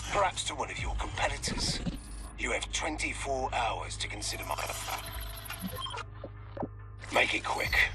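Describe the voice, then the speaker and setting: A ruthless mercenary for a game cutscene, clipped and commanding. His pitch swings widely as he threatens and bargains. Loud music plays behind him. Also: sing-song, unhurried, long pauses. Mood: cold. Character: ruthless male scheming mercenary trader, British. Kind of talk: video game cutscene acting.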